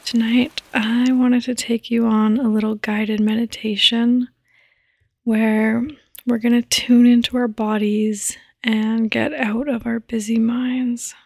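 A woman gently guiding a sleep meditation in a soft, breathy, soothing voice that often nears a whisper, close to the microphone. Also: on the high side, level tone, slow, no room sound. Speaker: podcast host and meditation guide. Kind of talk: guided meditation, sleep podcast.

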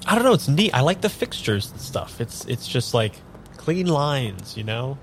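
Guy playing eager pushy amnesiac dude, average pitch, keeps repeating himself, improv audio drama, rumbly noise behind. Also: sing-song, moderate pace. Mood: quietly admiring. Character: eager amnesiac man, pushy, boyishly enthusiastic tarot querent. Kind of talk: naturalistic improvised radio drama.

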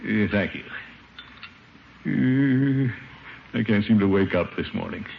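An actor playing a pompous, flustered middle-aged bachelor with a blustery, excuse-making way in a 1950s radio comedy. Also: male voice, on the low side, takes it slowly, vocal fry. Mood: weary. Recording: dull, noisy old radio recording.

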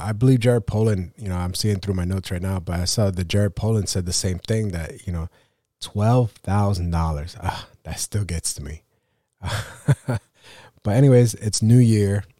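A man hosting a photography podcast while getting over a cold, rambling through a casual solo rant. His voice sits low and mellow, and he keeps leaning on "you know" and "right?". The sound is dry and close. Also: no echo. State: sick with a cold.

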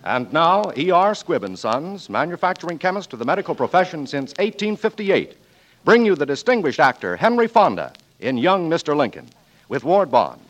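Male announcer, American accent, high projected voice, swooping formal delivery, vintage radio. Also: very animated, moderate pace, low-quality recording. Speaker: program announcer. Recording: vintage 1940s radio broadcast. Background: music.

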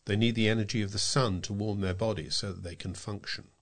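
Male, British accent, lowish pitch, volunteer reader of scripted local news and narration. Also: steady tone, fairly quick. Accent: British English. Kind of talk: scripted narration, reading aloud.

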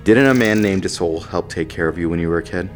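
Actor as wry runaway nobleman on a starship crew, low male voice, casual, teasing, loyal, sci-fi radio drama, faint music. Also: quick pace, natural voice. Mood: probing. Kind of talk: science fiction radio drama acting.

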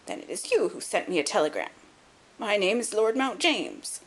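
A woman voicing a miserly, querulous little old lord in an audiobook, sharp and crackling, with a quick, indignant intensity. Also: mid-range voice, big pitch swings, husky. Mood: suspicious, demanding. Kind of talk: audiobook character acting.

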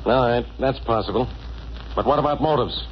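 An actor playing a gruff, impatient, skeptical police inspector in a 1940s radio drama, with a blustering manner. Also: male voice, mid-range voice, a little fast, close to an everyday voice. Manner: blustering, exasperated. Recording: muffled, noisy old radio recording.

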